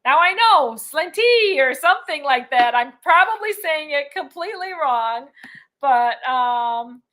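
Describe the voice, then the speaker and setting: Bright chatty woman cruise host. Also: high-pitched, animated, a little slow. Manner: chatty, rambling, laughs often. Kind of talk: casual live-stream chat reading comments.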